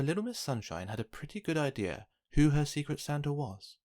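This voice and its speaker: A man narrating a children's picture book, reading aloud with expressive, dramatic emphasis. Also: medium pitch. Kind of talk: scripted storybook narration for children.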